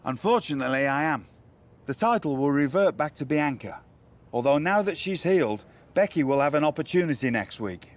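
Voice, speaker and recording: An actor playing a resigned, matter-of-fact wrestling boss in video game dialogue. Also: male voice, fairly high pitch, animated, a little fast, natural voice. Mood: resigned, apologetic. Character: resigned male wrestling boss breaking bad news.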